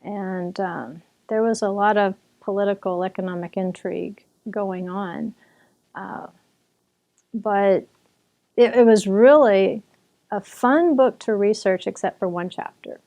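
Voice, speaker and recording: Mellow-voiced woman teaching in easy chat. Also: mid-range voice, measured pace. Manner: chatty, with restarts and occasional laughter. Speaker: author and Bible teacher. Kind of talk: relaxed conversational teaching.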